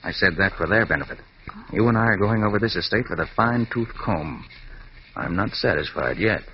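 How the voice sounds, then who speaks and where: An actor playing a shrewd private detective in a 1940s-style radio drama, brisk, clipped and commanding. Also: male voice, lowish voice, expressive, close to an everyday voice. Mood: hushed, wary. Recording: muffled, noisy old radio recording.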